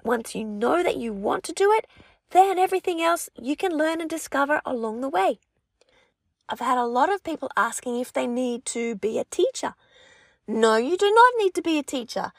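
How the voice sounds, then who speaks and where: A woman's voice: sits high, animated, moderate pace, vocal fry, no room sound, clean studio sound. An Australian woman whose first language is English, giving an upbeat, reassuring talk that coaches people who want to run language sessions for children. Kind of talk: encouraging talk to aspiring tutors.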